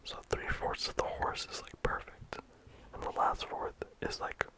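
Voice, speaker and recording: This is a whispered ASMR game review, in a steady voice with hardly any sound behind it. The reviewer rambles casually and leans on "like" between thoughts. The breathy hush never lifts into full voice. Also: measured pace. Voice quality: whispered throughout. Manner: casual rambling with many "like" fillers.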